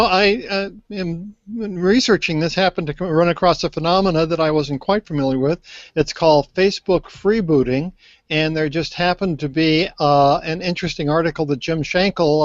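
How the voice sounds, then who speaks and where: Man, sixties, panelist, slightly high pitch, halting informal talk, close dry mic, lo-fi. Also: no room sound. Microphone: handheld microphone on a video call. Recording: dull lo-fi video call audio.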